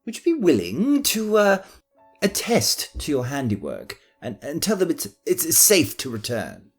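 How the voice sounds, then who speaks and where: A man's voice: on the high side, wildly swooping pitch, unhurried, faint music behind, full character voice. An actor playing a cowardly, greedy middle-aged male noble who frets and stammers, then breaks into panicked pleading in a fantasy audio drama. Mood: fretful.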